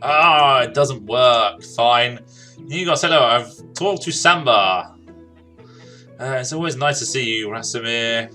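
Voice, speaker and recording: Guy doing a lets play, bright punchy voice, jokey, reads dialogue out, soft guitar music behind. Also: medium pitch, measured pace. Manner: jokey, casual, talks to himself and to the game. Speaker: gaming streamer. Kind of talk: let's play commentary, reading game dialogue aloud.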